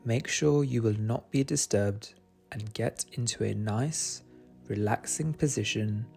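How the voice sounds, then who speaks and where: A man guiding a meditation in a soothing, gentle and unhurried manner. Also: fairly low pitch, creaky, husky. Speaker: meditation guide. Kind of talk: guided meditation narration. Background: soft meditation music.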